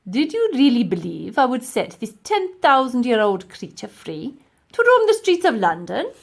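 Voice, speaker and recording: A woman voicing a cold-hearted, gloating old male professor in a children's audiobook, with pompous, emphatic, drawn-out delivery. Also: fairly high pitch, huge pitch swings, creaky, voice pushed up, heavily acted. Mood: proud, insistent. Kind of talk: children's audiobook character acting.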